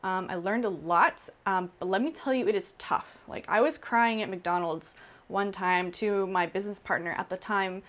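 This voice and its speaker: A woman's voice: medium pitch, moderate pace. A woman in her thirties, an entrepreneur, talking casually and candidly to camera with lots of "um" and "like" and emphatic repetition. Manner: chatty, frequent "um" and "like", candid and emphatic. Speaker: entrepreneur in Okinawa. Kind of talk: casual personal talk to camera.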